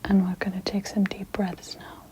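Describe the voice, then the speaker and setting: A woman guiding an ASMR sleep meditation in a breathy, mostly whispered voice, soothing and gentle, with long pauses. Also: mid-range voice, monotone.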